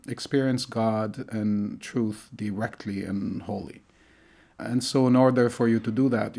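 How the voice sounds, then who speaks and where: A middle-aged man from Tunisia, a lecturer, talking thoughtfully in an interview, with many pauses and fillers. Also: lowish voice, creaky, darkish tone. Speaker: lecturer in Arabic studies. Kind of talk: conversational interview.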